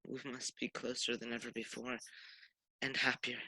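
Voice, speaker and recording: A young man playing a gentle, grieving father in a radio play, tender and earnest. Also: mid-range voice, flat delivery, moderate pace, slightly rough, dry recording, heavily acted, rough recording. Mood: earnest, consoling. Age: young adult. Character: gentle grieving father comforting his family. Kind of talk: theatrical radio play acting.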